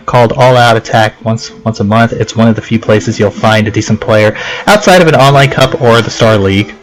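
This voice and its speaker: A man's voice: lowish voice, normal speed, faint music behind, low-quality recording. A young man giving casual, rambling let's play commentary, chatting and reading game text aloud.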